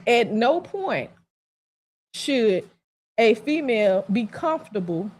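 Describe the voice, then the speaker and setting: A woman's voice: on the high side, sing-song, slow pace, a little mellow. A mother in a casual talk-radio discussion, earnest and persuasive, stacking up repeated phrases to build her point.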